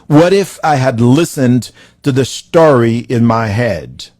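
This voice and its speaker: A man from Haiti with a Haitian accent, giving a live-streamed motivational talk to viewers, building his lines with slow, preacherly emphasis. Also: medium pitch, lively intonation, darkish tone, no room sound, studio quality. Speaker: motivational speaker.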